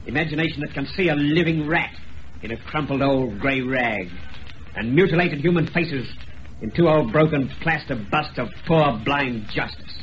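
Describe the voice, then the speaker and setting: An actor playing a vengeful, obsessed man, speaking deliberately and theatrically in an old-time radio drama. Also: on the high side, a bit bright, close to an everyday voice. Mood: brooding resolve. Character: vengeful, obsessed man coldly punishing the guilty. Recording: muffled, hissy old radio recording.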